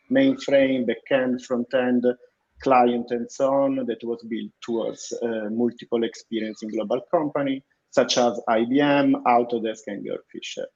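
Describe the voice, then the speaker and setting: A man's voice: mid-range voice, darkish tone, muffled recording. A man with an Italian accent, an engineering manager giving a self-introduction at an online panel. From Italy.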